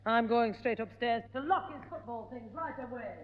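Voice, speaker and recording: An actress playing an exasperated mother who scolds briskly in a 1960s radio comedy. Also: on the high side, a little hiss or hum, natural voice. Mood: stern. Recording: tinny, echoey old radio broadcast.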